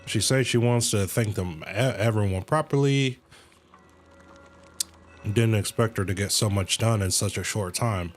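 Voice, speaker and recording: Man, game streamer, slightly deep voice, slow pace, casual read-aloud, slangy reactions, self-corrections, soft music behind. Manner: slangy, stumbles and corrects while reading. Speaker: livestreamer reading game dialogue aloud. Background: video game music.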